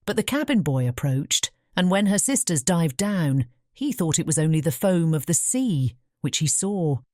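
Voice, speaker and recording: A woman narrates a storybook in a British accent. Her voice is notably low and soft-edged, dark in tone. She tells it in a measured way, recorded very dry. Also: big pitch swings, no echo. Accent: British English. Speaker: audiobook narrator. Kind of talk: scripted fairy tale narration.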